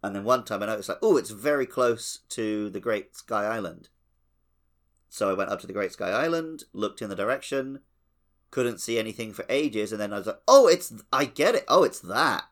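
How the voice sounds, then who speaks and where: A man's voice: lowish voice, expressive, moderate pace, fairly bright tone. A man co-hosting a podcast, chatting casually about a video game playthrough. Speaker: podcast co-host.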